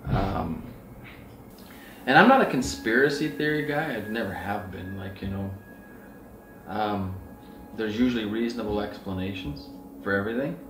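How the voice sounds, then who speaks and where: Middle-aged man, lowish voice, earnest off-the-cuff storyteller, recorded at a distance in a roomy space. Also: normal speed, a bit bright, slight background noise. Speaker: outdoor outfitter and Sasquatch researcher. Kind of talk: casual rambling anecdotal talk. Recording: roomy echo, distant mic, faint music.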